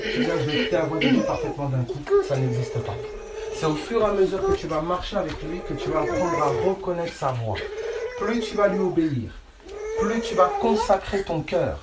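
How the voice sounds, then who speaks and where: A man preaching informally, insistent and colloquial, repeating phrases and often checking that listeners follow. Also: a little high. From France. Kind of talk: informal sermon, Bible teaching. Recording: echoey room, clear background noise.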